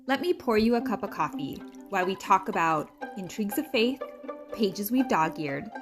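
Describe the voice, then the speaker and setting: A woman's voice: mid-range voice, expressive, normal speed. Woman reading a podcast intro over music. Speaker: podcast host. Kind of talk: scripted show intro.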